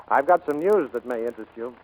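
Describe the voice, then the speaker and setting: An actor playing a kindly, earnest plane builder in 1940s radio drama, gentle and a little hesitant. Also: male voice, medium pitch, expressive, fairly quick, narrow, tinny audio, close to an everyday voice. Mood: tender, persuasive.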